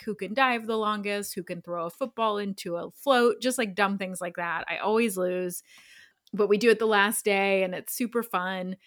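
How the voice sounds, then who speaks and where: A woman's voice: mid-range voice, no room sound, clean studio sound. A woman in her thirties co-hosting a podcast, telling a rambling story with lots of fillers and self-deprecating laughs. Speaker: podcast co-host. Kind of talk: casual chat.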